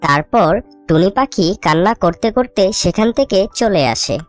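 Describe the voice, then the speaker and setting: Female narrator, children's story, scripted delivery, mid-range pitch, faint music behind. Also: animated, unhurried.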